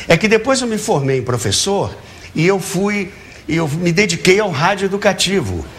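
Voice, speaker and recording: An older man with a Brazilian accent, reminiscing as an interview guest in an animated, emphatic way. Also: high voice, normal speed. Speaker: talk show guest, radio and TV educator.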